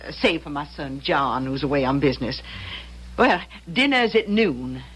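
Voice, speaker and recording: An actress playing a chatty, folksy middle-aged widow who runs a boarding house, in an old-time radio drama. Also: female voice, sits low, expressive, normal speed, husky, lo-fi sound. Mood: hospitable. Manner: folksy, gushing, chuckling old-fashioned talk.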